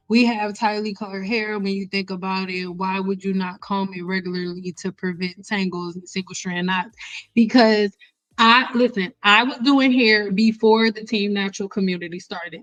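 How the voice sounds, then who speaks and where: A woman's voice: on the high side, a bit bright, poor audio. A woman in her thirties lecturing viewers on a live stream as an educator, emphatic and repeating phrases to drive them home. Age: 30s. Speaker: host, hair care educator.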